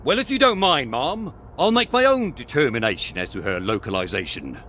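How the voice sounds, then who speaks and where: Pompous British bobby, bright, highly animated pitch, over-polite and formal. Comic game role, slight background noise. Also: male voice, a little fast. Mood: politely inquiring. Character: officious, over-polite, pompous English police constable. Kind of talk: comic video game voice acting.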